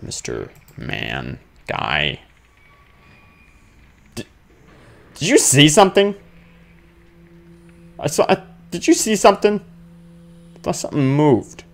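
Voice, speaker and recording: A man's voice: on the high side, wildly swooping pitch, slow, creaky, long pauses. A man giving casual, thinking-aloud commentary on a horror game, grumbling and muttering with sudden exclamations. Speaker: let's play gamer.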